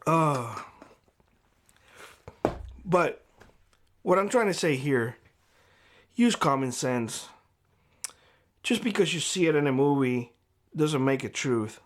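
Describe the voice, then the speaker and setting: A man speaking straight to camera in a vlog, giving blunt, lecturing advice in a hoarse voice. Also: fairly high pitch, expressive, takes it slowly, long pauses. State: hoarse, losing his voice. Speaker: prepper YouTuber. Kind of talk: direct-to-camera vlog monologue. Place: homestead.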